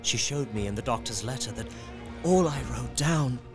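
An actor playing an earnest, newly resolute young husband, reading his journal in a measured, literary way for an audio drama. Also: mid-range voice, lively intonation, over music, lightly acted. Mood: resolute, reflective.

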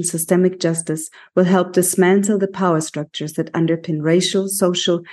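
A woman's voice: low-pitched, warm tone. A woman hosting a podcast, presenting and putting questions to a guest. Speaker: podcast host. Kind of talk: scripted introduction and interview questions.

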